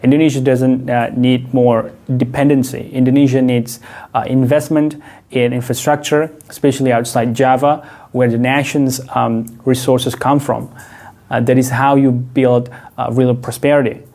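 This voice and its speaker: A man's voice: medium pitch, flat delivery, normal speed, warm tone. A man delivering an opinion commentary as a video creator, arguing his case with rhetorical questions and frequent filler "uh"s. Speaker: video creator and English tutor.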